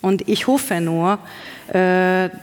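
A woman's voice: on the low side, drawn-out words. A woman poet with a strong Hungarian accent, Hungarian native, giving reflective interview answers and often searching for words. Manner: searching for words, self-correcting. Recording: long reverb of a hall.

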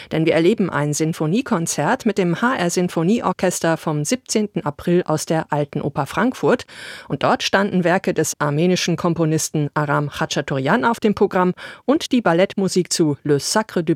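A woman's voice: fairly low pitch, no room sound, studio quality. A woman presenting a classical concert on radio with scripted narration.

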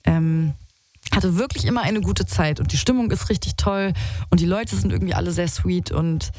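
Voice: mid-range voice, moderate pace, a little mellow, no echo. A radio host, chatting with callers on a live call-in show in an upbeat way, with lots of fillers. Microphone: studio microphone.

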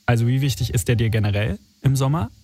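A young man from Berlin with a soft, dark-edged, relaxed tone. He talks in a chatty, informal way, steering a casual interview and using youthful slang. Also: medium pitch, fast talker. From Berlin, Germany. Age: 20s. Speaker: interviewer leading a conversation.

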